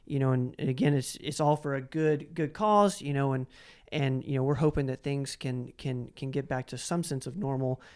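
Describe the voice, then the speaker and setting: A man's voice: medium pitch, moderate pace, a little mellow, clear, resonant tone. A man hosting a casual conversational interview, enthusiastic and rambling, often repeating his words. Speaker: podcast host and interviewer.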